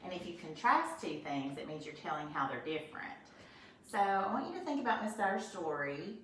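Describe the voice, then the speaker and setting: A woman teaching first grade, reading a storybook aloud in an expressive way and then explaining the lesson gently to young children. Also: fairly low pitch, normal speed. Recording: roomy echo from a distance, faint noise.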